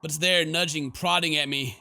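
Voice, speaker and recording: A man's voice: a little high, steady tone, a little fast, vocal fry, pushed and bright. A man hosting a horror game let's play, reading the story aloud and reacting in a theatrical, exclamatory way. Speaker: gaming video host.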